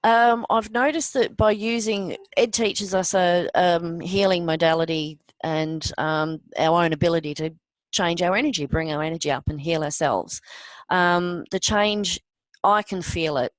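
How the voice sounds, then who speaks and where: A woman's voice: on the low side, dry recording. Earnest woman, dry close sound. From Australia. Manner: earnest, halting with many ums. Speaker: interview guest. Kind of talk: personal testimony.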